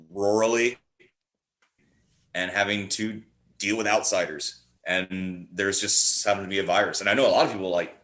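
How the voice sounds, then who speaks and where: A man's voice: fairly low pitch, a bit bright. A man chatting casually about films in an opinionated, emphatic way. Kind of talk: casual film chat.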